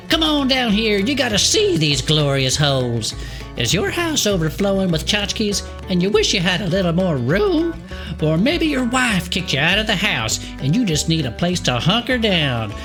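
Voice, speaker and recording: A woman's voice: mid-range voice, very animated, a little slow, a bit bright, faint music behind. A woman voicing a crazy, folksy magic-shop huckster hollering a hard sell in a parody commercial for an audio drama. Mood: manic selling.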